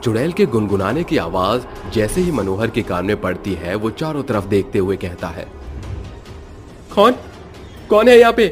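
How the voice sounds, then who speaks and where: A man's voice: on the low side, lively intonation, moderate pace, hardly any pauses, music in the background. A man narrating a cartoon horror story in a dramatic storytelling manner.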